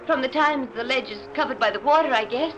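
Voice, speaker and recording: A woman's voice: sits high, fast, dull audio, natural voice. A woman playing an anxious, suspicious new wife in a 1940s radio drama, speaking hesitantly and faltering over her words. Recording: noisy old-time radio recording.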